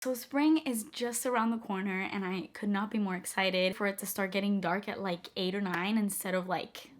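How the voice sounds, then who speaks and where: Bubbly young woman, slightly hoarse. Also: mid-range voice, fairly even intonation, normal speed. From moved to the U.S. as a child. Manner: bubbly, gushing, many "like" fillers. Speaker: beauty vlogger. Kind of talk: casual chatty vlog.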